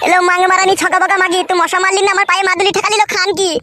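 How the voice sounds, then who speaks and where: A man's voice: very high-pitched, level tone, very fast. A man playing a crude, hot-tempered village husband in a comic cartoon, foul-mouthed, scolding and whiny when wronged. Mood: furious shrieking. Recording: noisy track with clicks.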